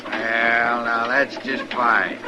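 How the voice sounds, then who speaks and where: Slow-drawling, leering western rowdy. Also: male voice, mid-range voice, flat delivery. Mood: leering, insistent. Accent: American. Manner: drawling, insolent, folksy. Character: pushy, leering, menacing frontier rowdy. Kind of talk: 1950s radio western acting. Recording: noisy old radio drama recording.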